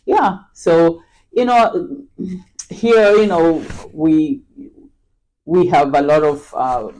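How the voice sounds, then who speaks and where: A middle-aged woman from Kenya, a podcast guest talking in an unhurried, casual way, with lots of fillers and restarts. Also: low-pitched, mellow, low-quality recording. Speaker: podcast guest, real estate agent. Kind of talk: casual interview chat.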